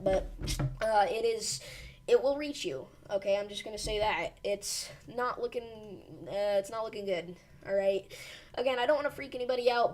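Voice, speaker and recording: A woman's voice: mid-range voice, slight background noise. Woman with a fading voice, live storm commentary. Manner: urgent but reassuring, rambling with fillers. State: losing her voice. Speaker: weather livestream host.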